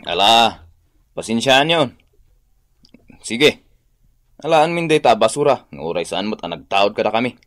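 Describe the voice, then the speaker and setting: A man with an Ilocano accent playing a calm, placating cousin in a radio drama. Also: medium pitch, unhurried, long pauses, no room sound, studio quality. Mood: pacifying. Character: calm peacemaking male cousin back from prison.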